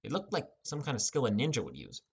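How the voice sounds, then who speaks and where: A man's voice: mid-range voice, huge pitch swings, fast talker, creaky, breathy edge. A man narrating a scripted first-person fantasy adventure as an audiobook.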